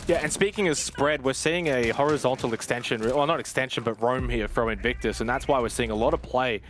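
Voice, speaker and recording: A man's voice: mid-range voice, fairly quick, fairly bright tone, slight background noise. A man commentating live on an esports match, switching between play-by-play and conversational analysis.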